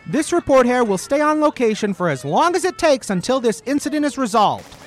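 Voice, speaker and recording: A woman acts a rabbit TV reporter in a parody news broadcast for radio comedy. The voice is high and very animated, urgent and insistent, with overblown drama. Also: a little fast, soft background music. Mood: formal sign-off. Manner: urgent, probing, overdramatic.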